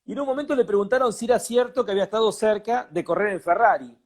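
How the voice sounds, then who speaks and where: A man's voice: sits high, fast. A man with an Argentine accent, co-hosting a casual motorsport chat and telling anecdotes with animation. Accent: Argentine Spanish. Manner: animated storytelling, repeats himself. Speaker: co-host.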